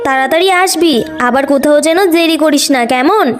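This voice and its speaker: A woman's voice: high-pitched, normal speed, close to an everyday voice. A woman voicing a scolding but caring village mother in a children's cartoon story. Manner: nagging, motherly. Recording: music behind the voice.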